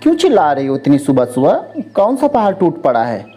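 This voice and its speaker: Storyteller playing a young man, cheeky, flustered, indignant, mellow tone, very lively pitch, moral-story style. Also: brisk, faint music behind. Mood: grumpy, indignant.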